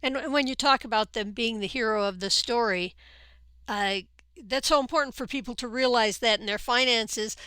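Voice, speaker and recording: A woman's voice: on the high side, moderate pace, sharp tone, clean tone, no echo. A woman hosting a podcast interview, talking with enthusiasm and often repeating words as she thinks. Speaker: podcast host. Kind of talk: conversational interview.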